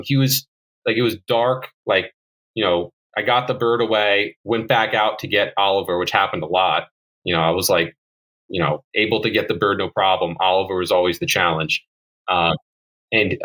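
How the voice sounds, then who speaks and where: Young guy from jersey, kinda low bright voice, rambles with lots of likes and ums. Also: rough recording. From New Jersey. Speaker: guest falconer. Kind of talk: casual podcast chat.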